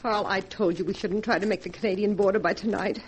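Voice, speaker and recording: An actress in a 1940s radio drama playing a fretful young wife on the run, speaking in a dramatic manner. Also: female voice, medium pitch, fairly even intonation, fast, natural voice, poor audio. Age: young adult. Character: anxious young fugitive wife, questioning accomplice. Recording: 1940s radio recording, organ music behind.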